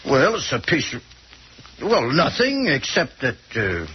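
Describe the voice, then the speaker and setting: An actor playing a skeptical, flustered police prefect in an old-time radio mystery, hesitant and blustering. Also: male voice, mid-range voice, huge pitch swings, a little slow. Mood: puzzled. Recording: muffled, noisy old radio recording.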